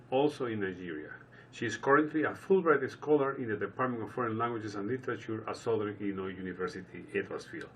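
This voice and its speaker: A man hosts a radio interview, asking his questions conversationally and circling back with restarts. His voice sits at an ordinary pitch. He is somewhat off mic, with faint background hiss. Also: recorded from a distance, rough recording.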